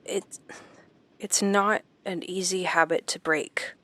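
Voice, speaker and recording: A woman's voice: on the low side, fairly even intonation, slow pace, fairly bright tone, no room sound. An actress playing a guarded, uncertain woman learning to open up, speaking in terse, tentative lines of audio drama dialogue. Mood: hurt, guarded. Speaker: supporting character in a horror audio drama.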